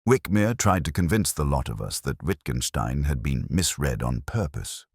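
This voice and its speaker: Man acting as weary older detective, very low voice, expressive pitch swings, wry, measured, brooding, audio drama narration, dry close sound. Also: slightly rough, dry recording, exaggerated voice. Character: weary, wry, reflective older male Oxford police inspector. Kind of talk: literary first-person audio drama narration.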